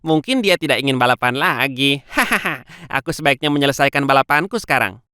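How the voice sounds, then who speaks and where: A man's voice: mid-range voice, expressive, fairly quick, noisy background, natural voice. A man voicing a boastful, arrogant male hare in a dubbed children's cartoon, with a mocking, lazy swagger. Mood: smug. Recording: faint music behind. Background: faint background music.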